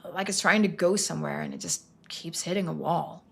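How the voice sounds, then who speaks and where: A woman voicing a troubled young man trapped in his town, speaking haltingly and sometimes in a near whisper, for a story game. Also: deep voice, gravelly, breathy edge, heavily acted. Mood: anxious, haunted.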